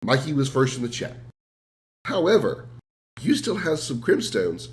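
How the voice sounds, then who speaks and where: A man streaming a game while sick, sounding rough and congested, rambling self-deprecatingly and answering his chat. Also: mid-range voice, big pitch swings, measured pace. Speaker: game livestreamer. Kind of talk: casual livestream chat.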